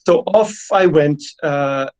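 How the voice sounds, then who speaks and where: A man's voice: fairly high pitch, slow, a little mellow. A man who grew up speaking Russian gives an online academic lecture, hesitant, with many fillers and repeated words. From Belarus. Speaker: guest lecturer.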